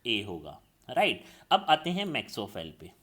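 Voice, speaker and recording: Guy teaching class, lively up and down voice, asks himself stuff and answers, bright punchy tone. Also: lowish voice, slow pace. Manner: explains step by step, asks and answers his own questions; addresses students as children. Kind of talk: classroom lecture.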